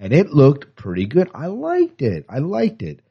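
A man's voice: fairly high pitch, huge pitch swings, a little slow, creaky, slightly rough. A man co-hosting a podcast, chatting casually and with a fan's enthusiasm about entertainment news, on a lo-fi recording. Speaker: podcast co-host. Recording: dull, lo-fi podcast audio.

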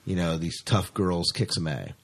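A man with a fairly low voice co-hosts a podcast in a casual, chatty way. He sounds enthusiastic as he talks through entertainment news, keeping it conversational. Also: moderate pace, gravelly, breathy edge. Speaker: podcast co-host. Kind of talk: casual sci-fi news chat.

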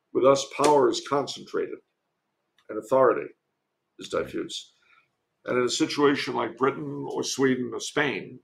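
Male podcast guest, heavy vocal fry, erudite and discursive, halting as he explains history in conversation. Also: mid-range voice, fairly bright tone. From United States. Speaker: podcast guest, author and commentator.

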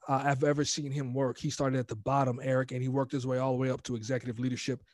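A middle-aged American man telling personal stories casually in an interview, animated and informal, acting out quoted speech. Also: mid-range voice, fast talker, no echo, clean studio sound. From United States, raised in Virginia. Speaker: podcast guest, motivational coach.

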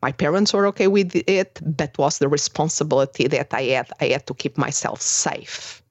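A woman's voice: low-pitched, normal speed, no echo. A woman from Portugal with a Portuguese accent, hosting a podcast conversation, speaking hesitantly with many fillers and restarts. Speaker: podcast host. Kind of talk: casual conversation.

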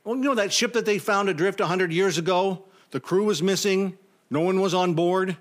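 An actor playing a nervous, imaginative office temp in a comedic audio drama, stammering and talking to himself. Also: male voice, high voice, moderate pace, lightly acted. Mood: flustered, alarmed. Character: jittery, imaginative male office temp, easily unnerved.

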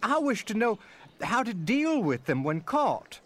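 A man's voice: high-pitched, big pitch swings, measured pace, full character voice. A man playing a stern, formal Civil War-era official declaiming his letters in a dramatic reading. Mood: agitated, indignant.

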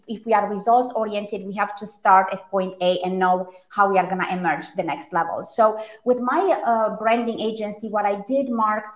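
A woman's voice: mid-range voice, a bit bright. A woman from Romania with a Romanian accent, a native Romanian speaker, giving articulate, emphatic interview answers about her own work. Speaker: interview guest, brand strategist. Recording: echoey room.

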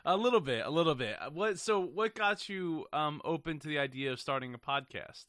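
A man interviewing a guest on a podcast, asking casual questions in a halting way, repeating words as he goes. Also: fairly high pitch, animated, a bit bright, dry recording, clean studio sound.

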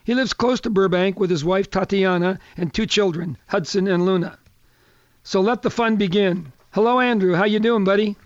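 An older man hosting a radio talk show in a chatty, folksy manner, his voice a little hoarse. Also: high voice, moderate pace, no echo, dull audio. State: slightly hoarse.

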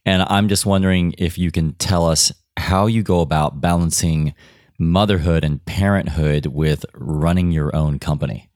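Deep-voiced male interviewer. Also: fairly even intonation, moderate pace, a little mellow, dry recording, clean studio sound. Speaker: podcast host, interviewer. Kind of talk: interview questions.

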